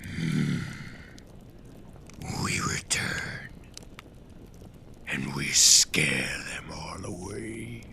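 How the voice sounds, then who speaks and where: Casting: a protective scarecrow creature, horror audio play; abyssal gravelly rasp, terse and ominous, extremely slow. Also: male voice, lively intonation, bright, forceful tone, a little hiss or hum, heavily acted. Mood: resolute. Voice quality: deep, gravelly, breathy rasping whisper. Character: grim living scarecrow, protective monster of few words. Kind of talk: horror audio drama acting. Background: music.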